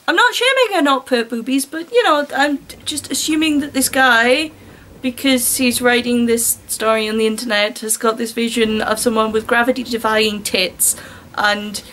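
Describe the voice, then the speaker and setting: A woman's voice: fairly high pitch, fairly bright tone. A woman reading a story aloud and breaking off for casual, sarcastic commentary. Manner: wry, sarcastic asides.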